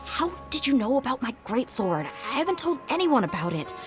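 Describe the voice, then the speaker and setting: A woman's voice: high-pitched, very animated, brisk. A woman voicing a young, self-assured mercenary swordfighter in an anime video game, direct and protective. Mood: taken aback. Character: confident, protective young female mercenary swordfighter, brisk and frank. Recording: background music behind the voice.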